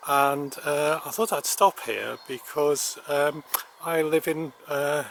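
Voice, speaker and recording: A man's voice: on the high side, slow, gravelly, a bit bright. A man from Wales, vlogging casually as he cycles, rambling with many hesitations but chatty and polite, recorded outdoors on a handheld camera mic. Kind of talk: casual vlog narration. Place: outdoors by a road and in a country park. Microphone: handheld camera mic, partly taped over. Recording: outdoor camera audio with traffic and wind noise.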